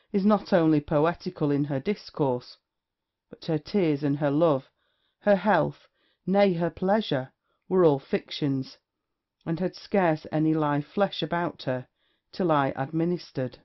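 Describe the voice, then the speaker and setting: A performer playing a witty, lewd, cynical gentleman rake in an audio play of a period comedy. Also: medium pitch, natural voice. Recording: dull, lo-fi audio.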